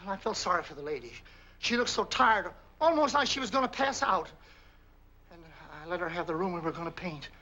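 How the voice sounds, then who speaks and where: High-pitched, cranky American night clerk. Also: male voice, very animated, brisk, sharp tone, a little hiss or hum, exaggerated voice, poor audio. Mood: defensive, whining. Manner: grumbling, folksy. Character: cranky, evasive male night clerk, folksy and defensive. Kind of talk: 1940s film noir acting. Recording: old film soundtrack with faint music.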